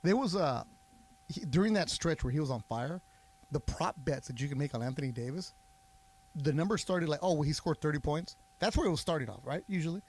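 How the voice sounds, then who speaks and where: A man's voice: on the high side, animated, brisk, darkish tone. A man co-hosting a sports talk radio show, explaining at length with a confident, conversational delivery. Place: radio studio. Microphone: studio mic.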